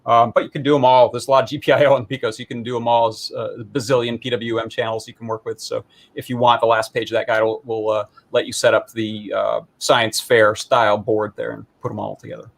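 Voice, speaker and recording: A man's voice: mid-range voice, flat delivery, fast, creaky, few pauses. A man giving a casual, informal live demo, explaining technical details in a rambling, self-deprecating way. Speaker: maker presenting a hobby electronics project.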